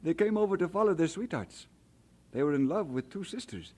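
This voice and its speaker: A discouraged young immigrant in a vintage radio play. His voice is mellow and slightly husky, fairly high for a man, and moves freely as he muses hesitantly. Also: very animated, a little fast. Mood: nostalgic, eager. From Scotland. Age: young adult. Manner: hesitant, musing, given to chuckling. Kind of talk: old radio drama acting.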